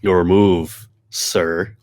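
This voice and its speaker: A man's voice: low-pitched, flat delivery, very slow, darkish tone. A man co-hosting a casual gaming podcast, talking in a joking way. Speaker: podcast co-host.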